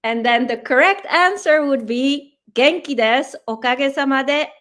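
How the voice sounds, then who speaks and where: A woman's voice: high voice, normal speed, fairly bright tone. A woman whose first language is Dutch, a guest in a casual interview, explaining things patiently with many fillers.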